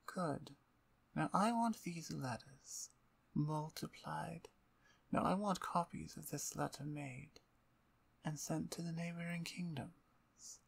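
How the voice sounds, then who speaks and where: A woman playing a dominant, playful vampire conqueror in an ASMR roleplay, with a breathy, near-whispered voice and an imperious, taunting manner. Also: low-pitched, takes it slowly, exaggerated voice. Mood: commanding.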